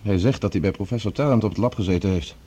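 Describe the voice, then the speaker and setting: A probing scientist in a radio play, calmly in charge. He talks quickly in a low, mellow voice. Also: husky. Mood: brisk, questioning. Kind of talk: radio drama acting.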